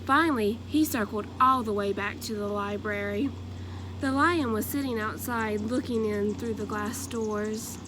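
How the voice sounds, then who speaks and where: A woman narrating a children's storybook aloud in an expressive, deliberate way. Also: mid-range voice, background noise.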